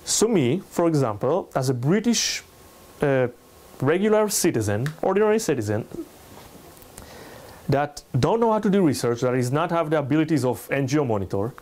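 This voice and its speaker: A man's voice: a little high, lively intonation, darkish tone. Israeli-accented man giving a public lecture. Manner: halting non-native English, emphatic. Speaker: lecturer, NGO researcher. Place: indoor salon room, speaking to a small audience.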